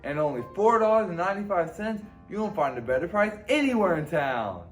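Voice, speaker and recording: A cheery, folksy Santa's helper acted by a man makes a smooth-talking sales pitch for old-time radio. His fairly high voice swoops expressively over faint music. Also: very animated, brisk. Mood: cheery, salesy.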